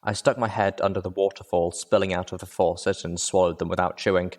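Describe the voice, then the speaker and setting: A man reading a dramatic first-person story as an audiobook narrator, measured and expressive. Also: deep voice. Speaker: audiobook reader.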